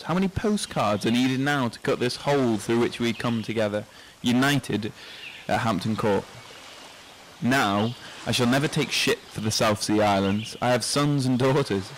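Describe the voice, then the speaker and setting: A man's voice: mid-range voice, slight background noise, lightly acted, low-quality recording. An actor playing a reflective, resigned middle-aged man in a literary radio drama, speaking in poetic, declamatory phrases. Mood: wistful.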